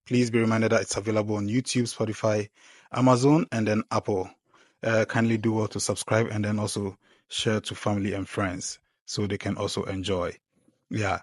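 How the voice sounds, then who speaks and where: Low-voiced podcast host, dry sound. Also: male voice, moderate pace, dry recording. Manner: relaxed, trails off quietly, affirming fillers. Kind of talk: casual podcast interview.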